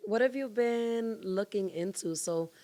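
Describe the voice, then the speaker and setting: A woman's voice: medium pitch, measured pace, mellow. A woman co-hosting a podcast who asks probing questions in a direct, teasing way. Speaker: podcast co-host. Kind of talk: casual podcast conversation.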